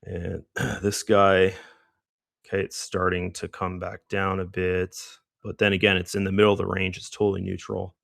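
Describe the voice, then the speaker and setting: Hoarse low-voiced man with gravelly creak. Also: completely flat delivery, moderate pace, fairly bright tone, no room sound, studio quality. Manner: rambling, thinks aloud with many fillers. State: hoarse, losing his voice, clearing his throat. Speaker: trading livestream host. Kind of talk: casual live market commentary.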